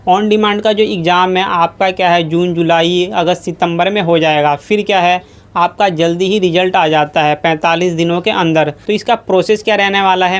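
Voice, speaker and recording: A man giving a methodical, repetitive step-by-step explainer to students as an educational YouTuber. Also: high voice.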